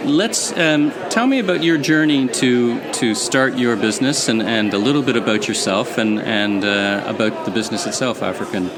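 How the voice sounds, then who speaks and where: A man hosting a podcast interview at a conference, friendly and prompting his guest with quick affirmations. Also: mid-range voice. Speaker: podcast host. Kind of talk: interview chat. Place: busy conference floor. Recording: crowd chatter behind. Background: conference crowd.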